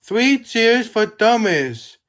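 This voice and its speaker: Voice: medium pitch, very animated, slow, a bit bright, pitched above the performer's own voice. A storybook narrator voicing a grand older male railway controller making formal, celebratory announcements.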